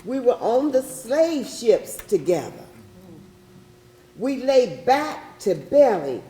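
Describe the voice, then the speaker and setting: A woman performing a solemn, deliberate dramatic recitation in readers theatre. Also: on the high side, sing-song, dark tone, slight background noise. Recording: roomy, echoing stage recording.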